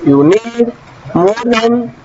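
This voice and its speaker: A man giving an online engineering lecture, repeating key phrases slowly and often asking students if they follow. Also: extremely high pitch, expressive, a crowd in the background. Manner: asks students frequent check questions. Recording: dull muffled audio with traffic noise behind.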